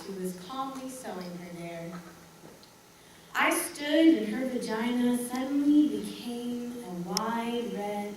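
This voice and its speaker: A woman performing a theatrical monologue on stage, with dramatic, deliberate phrasing broken by pauses. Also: a little high. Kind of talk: theatrical spoken monologue recitation. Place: large hall or stage, recorded from afar. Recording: very echoey hall, distant mic, faint noise.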